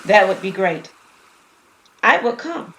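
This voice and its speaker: A woman voicing a gentle, reserved young man, a shy suitor in an audio drama. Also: mid-range voice, very animated, slow pace, slight background noise. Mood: warm, tentative.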